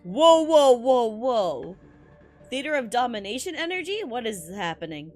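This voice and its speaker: A woman's voice: a little high, very animated, unhurried, darkish tone. A woman game streamer giving casual, slangy reaction commentary with exclamations and swearing. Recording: music behind the voice. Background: game music.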